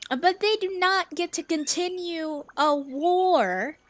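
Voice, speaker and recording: A woman giving casual, reactive let's play commentary, joking, halting and emphatic as she goes. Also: very high voice. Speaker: gaming video host. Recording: faint game music behind the voice.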